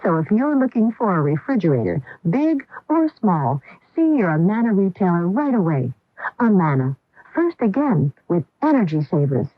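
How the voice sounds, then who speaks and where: A woman reads a scripted radio commercial with emphatic, informative delivery. Her mid-pitched voice moves up and down a lot. The sound is dry and thin, like a phone line. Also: very animated, moderate pace, dry recording. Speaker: commercial announcer.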